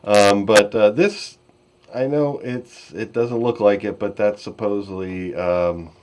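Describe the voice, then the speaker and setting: Low-voiced man, halting casual host. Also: steady tone, darkish tone. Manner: halting, rambling. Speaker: podcast host. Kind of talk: casual live commentary.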